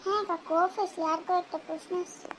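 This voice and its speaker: Cartoon kid, teasing and chirpy, as someone puts on the role; very high, sharp voice. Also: fairly even intonation, fast, slight background noise, low-quality recording. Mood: cheeky. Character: cheeky clever young boy quizzing his uncle. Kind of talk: cartoon dubbing.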